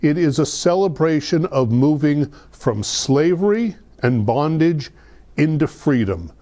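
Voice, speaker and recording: Male guest, soft dark tone, informal explainer who gropes a little for words. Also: fairly high pitch, expressive, measured pace. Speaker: guest explaining holiday traditions. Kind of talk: casual explanatory chat.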